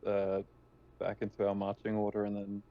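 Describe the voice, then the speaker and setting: A man's voice: fairly low pitch, completely flat delivery, moderate pace. A man playing a tabletop roleplaying game, chatting casually in a hesitant, stammering way over a dull voice connection. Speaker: tabletop RPG player.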